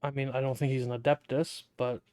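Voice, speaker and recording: A man's voice: medium pitch, flat delivery, quick pace, darkish tone, smooth. A man giving casual gameplay commentary, rambling and often interrupting himself as he thinks aloud. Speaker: gaming video creator.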